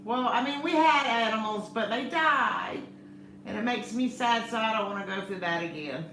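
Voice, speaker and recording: A middle-aged woman with a loud voice, chatting casually and teasing, laughing often. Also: a little high, normal speed, roomy sound, a little hiss or hum, poor audio. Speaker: channel co-host. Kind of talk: casual chat.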